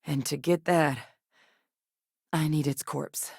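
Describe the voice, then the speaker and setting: A woman's voice: low-pitched, fairly even intonation, takes it slowly, creaky, darkish tone, slightly rough, no echo, exaggerated voice. An actress playing a capable, dry-witted woman scientist and soldier in a space video game, articulate, earnest and direct. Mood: matter-of-fact.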